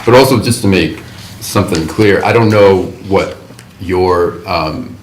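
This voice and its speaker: Very deep-voiced man, measured. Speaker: writers' group member. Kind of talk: casual group discussion. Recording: echoey room, faint noise.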